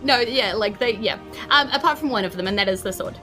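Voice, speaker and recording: A woman's voice: fairly high pitch, lively intonation, a little fast, sharp tone. A woman with a New Zealand accent casually narrating a tabletop fantasy game as game master, with music behind her.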